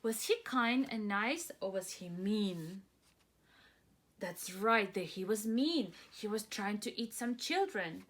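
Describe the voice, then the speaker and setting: A woman's voice: mid-range voice, animated, takes it slowly, a bit bright. A woman teacher doing a children's read-aloud, asking simple, encouraging questions of young listeners. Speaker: preschool teacher reading aloud.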